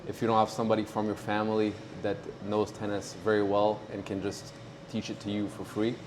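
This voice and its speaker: A man in his twenties from Morocco, a pro tennis player talking casually in an interview, relaxed and halting with many fillers. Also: on the low side, noisy background. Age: 20s.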